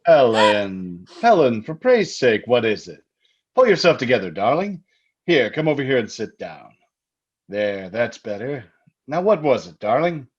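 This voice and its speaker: A man's voice: mid-range voice, big pitch swings, unhurried, breathy edge. A man plays a solicitous husband in an old-time radio thriller. He soothes and patronizes with swooping intonation and an undercurrent of menace. The sound is slightly dull and lo-fi. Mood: concerned.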